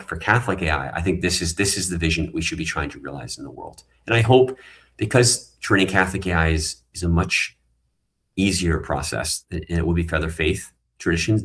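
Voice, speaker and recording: Very deep-voiced man explaining earnestly. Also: fast talker, a bit bright. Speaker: guest, tech founder. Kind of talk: online panel conversation. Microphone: video call.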